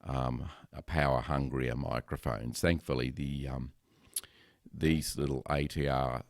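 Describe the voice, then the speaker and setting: A man who runs a podcast, chatting casually about his recording gear in a loud, resonant voice, rambling with lots of ums and self-corrections into a close headset microphone. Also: very deep voice, fairly even intonation, measured pace, dry recording. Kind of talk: casual gear talk to camera. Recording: dry, close sound.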